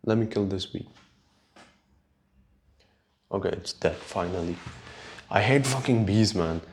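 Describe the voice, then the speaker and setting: Slow, low-voiced man with Urdu accent. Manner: unhurried, halting, sweary. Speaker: podcast host. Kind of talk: casual rambling solo podcast chat.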